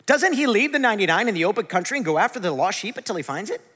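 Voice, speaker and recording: A man in his forties preaching a conversational sermon, animated and self-deprecating. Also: sits high, very fast. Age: 40s. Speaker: pastor. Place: large church hall. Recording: long hall reverb.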